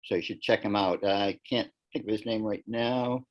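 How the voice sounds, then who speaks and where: A man's voice: fairly low pitch, fairly even intonation, moderate pace, dull audio. A man from the US Pacific Coast, chatting on a video call, rambling and searching for words. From USA Pacific Coast. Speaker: participant.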